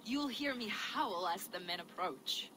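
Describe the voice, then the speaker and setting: An actress playing a learned, zealous medieval mystic, measured and preacherly, in a dramatic video game. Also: female voice, medium pitch, animated, background noise, natural voice. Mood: urgent.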